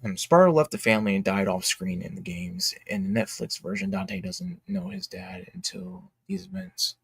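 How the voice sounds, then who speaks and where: A man giving casual, opinionated livestream commentary in a blunt, emphatic way, haltingly repeating his phrases for emphasis. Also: sits high, vocal fry, breathy edge, dry recording. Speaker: streamer and commentator.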